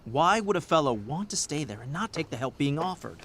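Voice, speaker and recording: High-voiced, earnest government man. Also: sing-song, a little fast, a bit bright, a little hiss or hum. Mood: insistent. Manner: formal, earnest, persuasive. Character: earnest, idealistic government relief official. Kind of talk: naturalistic audio drama dialogue.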